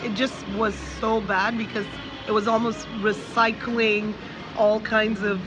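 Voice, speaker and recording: A woman's voice: medium pitch, level tone, unhurried, rough recording. A woman guest in a casual interview, telling her story frankly and rambling a little with fillers. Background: background music.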